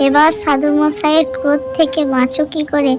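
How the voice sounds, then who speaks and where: A woman's voice: high-pitched, flat delivery, fairly quick, natural voice. A woman playing a landlord's reproachful, sorrowful wife in a cartoon story, with a melodramatic manner. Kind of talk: Bengali cartoon story dialogue. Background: background music.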